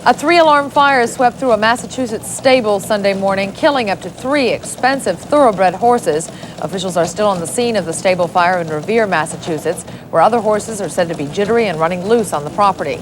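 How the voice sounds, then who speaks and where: Female news anchor, hissy old tape. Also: mid-range voice. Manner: crisp, measured anchor delivery. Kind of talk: read news bulletin.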